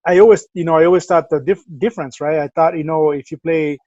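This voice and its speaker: A man's voice: a little high, steady tone, fast, darkish tone, no echo. Salvadoran-accented man on a dull lo-fi line. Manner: easygoing, rambling with many fillers. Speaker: podcast co-host. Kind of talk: casual chat.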